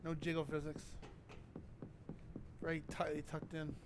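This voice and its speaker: A man's voice: fairly high pitch, monotone, a little slow, background noise. A man giving casual game commentary in offhand, wry asides. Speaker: gamer commentator. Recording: game music loud behind voice. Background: game soundtrack.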